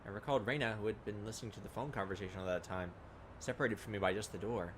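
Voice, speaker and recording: A man's voice: fairly low pitch, very fast, background noise. A man hosting a let's play, reading visual novel narration aloud with casual commentary. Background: game music.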